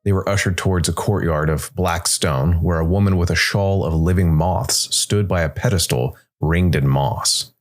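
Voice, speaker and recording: A man narrating a scripted dark fantasy story in a measured, deliberate way. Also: sits low. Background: music.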